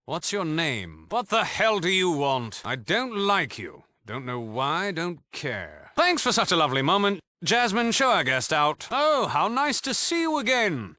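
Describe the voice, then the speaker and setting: A man's voice: sits high, very animated, unhurried, hardly any pauses, pushed and bright, no echo, heavily acted. A man with a British accent playing a cocky, mock-polite thief gang leader in a video game, theatrical and sarcastic. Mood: coolly condescending.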